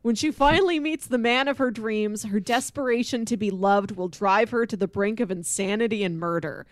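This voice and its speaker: A woman co-hosts a casual podcast with an enthusiastic, expressive delivery. Her voice sits at a middle pitch for a woman. The sound is dry, with very little room in it. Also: moderate pace, dry recording. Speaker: podcast co-host. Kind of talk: casual chat with read-out film pitches.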